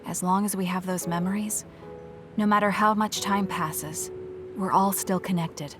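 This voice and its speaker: A woman's voice: mid-range voice, fairly even intonation, unhurried, close to an everyday voice. An actress voicing a reserved, blunt young woman soldier in a video game, cool and matter-of-fact with hidden tenderness. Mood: earnest, restrained. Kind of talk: video game voice acting, story cutscene dialogue. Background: background music.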